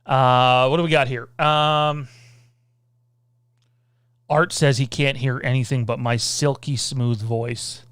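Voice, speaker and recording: A man hosting a casual livestream Q&A, rambling loosely, reading out viewer comments and filling pauses with lots of "uh" and "um.". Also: medium pitch, fairly even intonation, a bit bright, clean tone, no echo, clean studio sound. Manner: rambling, reads out viewer comments, many filler sounds. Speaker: podcast host.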